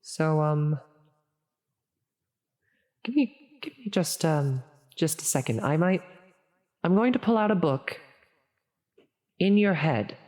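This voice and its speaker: A woman's voice: low-pitched, lively intonation, darkish tone. A woman playing a flustered, well-meaning female demon tempter in an ASMR audio roleplay, hesitant and stammering as she coaxes. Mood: hesitant, studious. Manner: hesitant, halting, stammering, coaxing. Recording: long reverberant echo on the voice.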